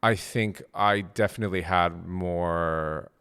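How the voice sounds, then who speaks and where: Man, middle-aged, from Japan, interview guest, very low voice, rambling. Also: steady tone, slow, fairly bright tone, clear, resonant tone. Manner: rambling, many fillers and restarts. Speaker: podcast guest, game studio co-founder. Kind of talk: casual interview conversation.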